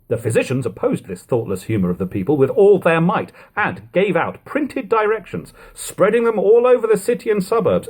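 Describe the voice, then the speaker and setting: Man, middle-pitched, very varied intonation, measured literary narration, expressive audiobook reading. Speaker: amateur audiobook reader. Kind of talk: literary narration of an old text. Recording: lo-fi home recording.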